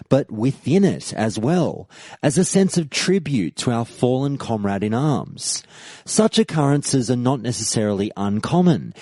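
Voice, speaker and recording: A man narrates a scripted history episode as host of his podcast. His delivery is earnest and his pitch swings widely. The tone is warm and mellow, recorded dry with no room sound. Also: no room sound. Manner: earnest storyteller, reads quoted posts aloud.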